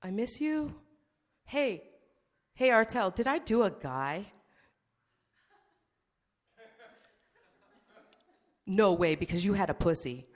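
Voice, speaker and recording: A woman voicing a crude, needy man who swings between whining pleas and snarling insults. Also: a little high. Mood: pleading. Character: crude, needy, abusive man texting his date. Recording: long hall reverb.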